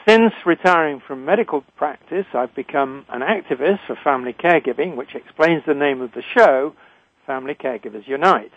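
An older man with a British accent hosting a radio talk show in a formal, deliberate way, introducing and interviewing. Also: fairly high pitch, no echo. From Britain. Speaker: radio show host, retired physician. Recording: narrow, phone-like line.

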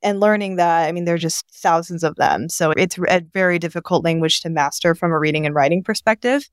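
A woman's voice: lowish voice, brisk, clean tone, no room sound, clean studio sound. A woman in her thirties, raised in Japan, talking as a guest on an interview podcast, explaining things at length in a thoughtful, easygoing way. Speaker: guest, venture investor. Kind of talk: casual interview conversation.

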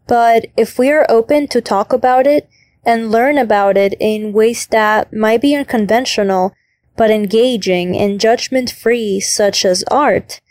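Young woman, higher voice, dry sound. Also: measured pace, darkish tone, no echo. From Chile. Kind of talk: scripted testimonial.

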